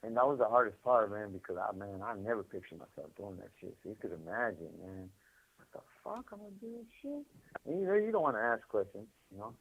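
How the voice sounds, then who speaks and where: A man's voice: fairly low pitch, sing-song, a little fast, breathy edge. A man with a Californian accent telling a rambling story over a prison phone call, repeating words and using street slang. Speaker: incarcerated caller. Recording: tinny phone line.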